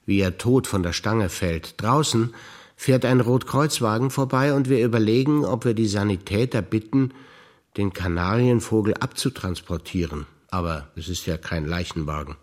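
An older man from Bavaria speaks remembered moments on stage in a low voice. His delivery is calm, understated and matter-of-fact. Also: normal speed. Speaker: stage actor. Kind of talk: scripted stage recitation of memories.

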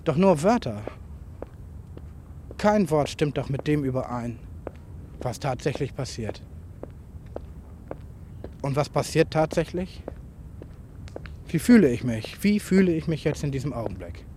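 A man's voice: on the high side, very animated, a little slow, long pauses, mellow. A man speaking an improvised, introspective monologue into a tape recorder in fragmented observations. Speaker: writer. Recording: noisy home tape recording.

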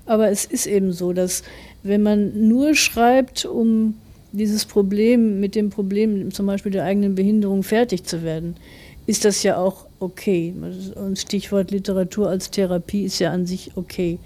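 A woman's voice: medium pitch, steady tone, normal speed, mellow. A woman of forty, an author answering interview questions in a reflective, careful, explanatory way.

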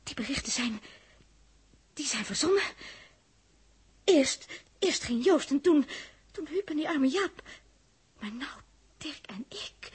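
A woman with a Netherlands Dutch accent playing an anxious, tender girl aboard a spaceship in a 1960s radio drama, emotive and halting, often muttering to herself. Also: sits high, lively intonation. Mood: fearful muttering.

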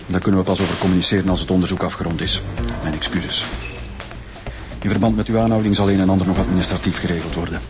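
An actor playing a formal, apologetic senior police official with a Flemish accent, speaking in officialese in a radio drama. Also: male voice, low voice, fairly even intonation, fast talker, lightly acted. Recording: music behind the voice.

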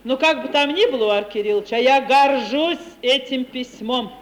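A woman's voice: sits high, moderate pace, close to an everyday voice. An actress playing a self-satisfied, sentimental older landowning lady in 1950s Russian radio theatre, gushing and repeating her words for emphasis. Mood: proud, effusive. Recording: old hiss, long hall reverb.

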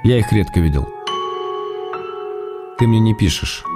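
An actor playing a middle-aged Russian writer, tender and wry, reading love letters aloud in a radio play. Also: male voice, fairly low pitch, lively intonation. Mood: teasing, wistful. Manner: affectionate, gently teasing, measured. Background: music.

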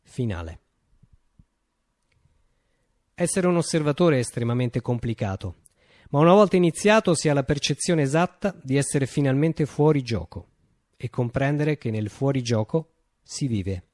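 A man's voice: mid-range voice, lively intonation, moderate pace, darkish tone, no echo, studio quality, lightly acted. Man playing a declamatory, swooping revolutionary visionary. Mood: visionary, solemn. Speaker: actor performing a radio play. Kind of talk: theatrical radio monologue.

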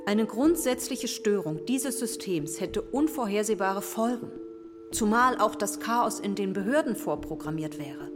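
An actress playing a sober expert delivering a warning report in a radio drama, formal, measured and precise. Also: female voice, mid-range voice, close to an everyday voice. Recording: reverberant hall, clear music underneath.

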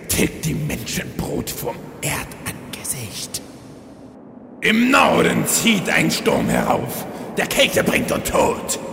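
A man's voice: fairly high pitch, vocal fry, sharp tone, full character voice. A man playing a grim, solemn prophet who recites ominous verse about doom in an audio drama parody. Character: grim male prophet foretelling a deadly winter storm. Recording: cavernous long reverb, faint music behind.

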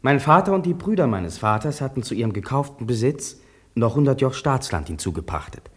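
A man's voice: medium pitch, very animated, a little fast, husky. An actor playing a farmer's son who soberly recalls his lost home village, narrating a radio drama in the first person. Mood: reflective. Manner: sober, reflective recounting.

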